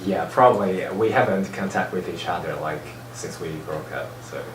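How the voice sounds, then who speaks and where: A man's voice: low-pitched, normal speed. A young man in his twenties from China, a student guest in a casual discussion, shy and laughing often. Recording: echoey room, far from mic, noisy.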